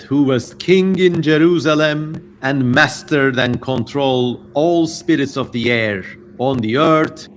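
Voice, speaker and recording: A male livestream host speaks in a medium-pitched voice over noticeable background noise. He reads aloud with theatrical flair and drops in casual, rambling commentary. The audio has the feel of an amateur streaming microphone. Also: fairly bright tone. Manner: digressive, informal asides amid theatrical reading. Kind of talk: casual livestream chat with dramatic reading and running commentary.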